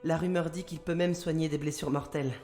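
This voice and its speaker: A woman playing a composed telepath consultant in an audio drama, calm, direct and businesslike. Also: low voice, a little fast, faint music behind, lightly acted. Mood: calm, respectful.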